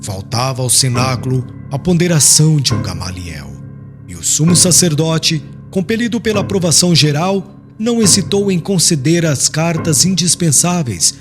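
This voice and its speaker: A man's voice: fairly high pitch, sing-song, normal speed. A man with a Brazilian accent reading a literary audiobook in a formal, dramatic storytelling manner. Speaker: audiobook narrator. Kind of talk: scripted literary narration. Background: music.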